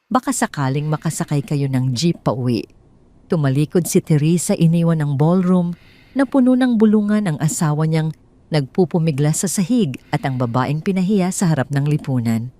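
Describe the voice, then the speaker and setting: A Filipino woman narrates a scripted drama story in audiobook style. Her voice sits low for a woman, and she reads the romance as a storyteller. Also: mellow, no echo.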